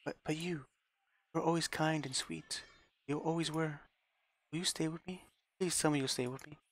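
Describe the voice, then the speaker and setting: A man's voice: on the high side, steady tone, normal speed, voice pushed up. A man voicing a desperate, unhinged young woman who pleads and stammers through repeated restarts. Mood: clingy. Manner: pleading, sobbing, stumbling restarts.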